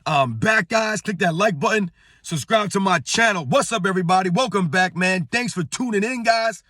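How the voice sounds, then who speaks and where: A middle-aged man hosting his own sports channel, talking straight to the viewers in a hoarse, strained voice. He talks emphatically and keeps circling back to his point. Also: sits high, moderate pace, pushed and bright, no echo, clean studio sound. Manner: emphatic, repetitive, addresses viewers as guys. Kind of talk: casual talking-head rant. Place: on vacation at a beach.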